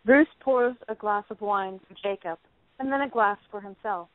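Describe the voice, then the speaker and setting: A woman's voice: mid-range voice, normal speed, no room sound. A woman narrating the stage directions of a play in a scripted, dramatic reading. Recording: tinny phone-like band.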